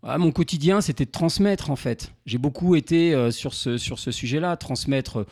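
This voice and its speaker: A man in his fifties from Normandy, answering as an interview guest, often pausing and repeating words as he searches for phrasing. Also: a little high, darkish tone, no room sound, clean studio sound. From Normandy, France. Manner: hesitant, halting, repeats words while searching. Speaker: interview guest, insurance broker. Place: indoors, radio studio.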